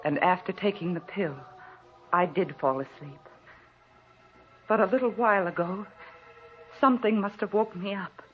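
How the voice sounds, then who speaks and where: An actress playing a frightened, anxious wife writing in her notebook, with tremulous, halting delivery in a 1940s radio thriller style. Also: medium pitch, faint music behind, natural voice. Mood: scared, hushed. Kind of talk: 1940s radio thriller acting, narrated diary. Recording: muffled, noisy old radio broadcast recording.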